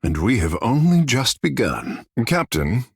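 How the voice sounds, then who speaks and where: Vain godlike fish-man, fantasy game hero, swooping expressive pitch, husky, seductive and boastful storyteller, close dry recording. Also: a little slow, no echo, heavily acted. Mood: flirtatious, boastful. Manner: theatrical, boastful, languid storyteller. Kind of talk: fantasy video game character dialogue.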